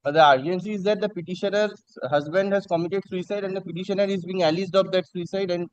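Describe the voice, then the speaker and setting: Fast-talking Indian lawyer, clear voice. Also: male voice, high-pitched, fairly even intonation, no echo. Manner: deferential. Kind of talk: formal courtroom pleading. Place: courtroom. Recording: slightly dull courtroom audio.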